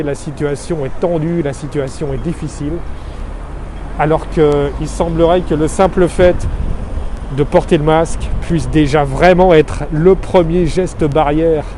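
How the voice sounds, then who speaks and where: A middle-aged man from Alsace, France, giving an earnest, measured street-side monologue full of figures, recorded outdoors on a city street. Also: a little high, fairly even intonation, dark tone. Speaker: expatriate vlogger. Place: outdoors on a city street below his office. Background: faint street and traffic noise.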